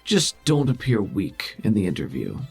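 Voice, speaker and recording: A man playing a cool, scheming, condescending political mastermind who speaks formally and with measured authority in a tabletop game. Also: on the low side, wildly swooping pitch, vocal fry, darkish tone, husky, soft background music, exaggerated voice. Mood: calculating.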